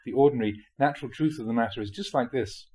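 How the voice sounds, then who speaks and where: A man reading a spiritual talk aloud in a measured, explanatory way, often posing rhetorical questions. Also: mid-range voice, darkish tone, slightly rough. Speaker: monk reading dharma talks aloud.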